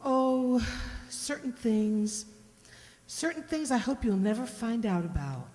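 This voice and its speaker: A woman as a mellow, protective country mother. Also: fairly high pitch, animated, slow. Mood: gentle, guarded. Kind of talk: radio drama acting. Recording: hall-like long reverb.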